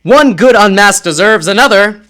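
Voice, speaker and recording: A man's voice: sits high, lively intonation, moderate pace, sharp tone, voice pushed up. A man voicing a sinister male villain disguised as a ghost, in a dramatic comic reading. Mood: menacing.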